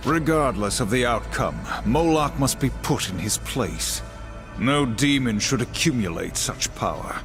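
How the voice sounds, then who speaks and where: An actor playing a stern, grim warrior horseman in a video game, speaking in a solemn, formal, measured way. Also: mid-range voice, expressive. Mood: grim, resolute. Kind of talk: video game cutscene acting. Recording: loud music behind the voice.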